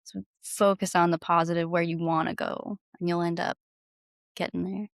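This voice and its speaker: Low-pitched woman, casual and enthusiastic. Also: flat delivery, moderate pace, vocal fry, dry recording, clean studio sound. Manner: enthusiastic, informal, frequent "like". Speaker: podcast guest, singer-songwriter. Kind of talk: casual conversational interview.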